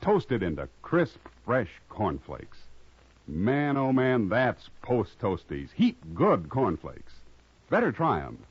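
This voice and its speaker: A man's voice: medium pitch, huge pitch swings, slow, breathy edge, dry recording. An American man working as a radio announcer, delivering a script in polished 1950s broadcast style. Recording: muffled vintage radio recording with music.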